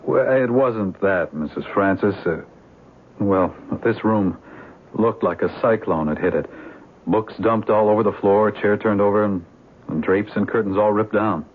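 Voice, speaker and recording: An actor playing a courteous, grave police lieutenant who speaks hesitantly in a measured way, in a radio drama. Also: male voice, fairly low pitch. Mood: measured, puzzled. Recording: muffled lo-fi 1970s radio recording.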